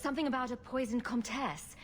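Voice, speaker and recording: A woman's voice: on the high side, steady tone, brisk, background noise, lightly acted. A woman voicing a playful, sarcastic young rogue adventurer in a video game, with a wry, teasing manner.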